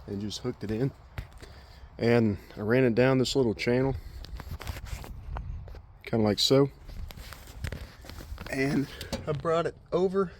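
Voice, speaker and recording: A man's voice: mid-range voice, slight background noise. A man giving a casual, unscripted hands-on DIY walkthrough, thinking aloud as he goes. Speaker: DIY vlogger. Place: in and around a pickup truck.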